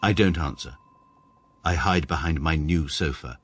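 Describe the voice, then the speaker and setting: A man's voice: extremely low pitch, normal speed, a bit bright, slight background noise. A man playing a middle-aged, upper-class English barrister who narrates his life formally and pedantically in a radio drama. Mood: stiffly resolute. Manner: formal, pedantic, precisely worded. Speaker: narrator and lead character. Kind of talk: scripted first-person radio drama narration.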